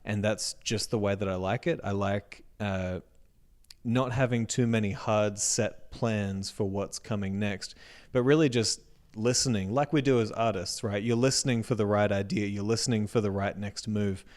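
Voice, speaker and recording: A man with an Australian accent, a music educator speaking as an interview guest in a casual, reflective way that trails off softly at the ends of sentences. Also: fairly low pitch, fairly even intonation, moderate pace.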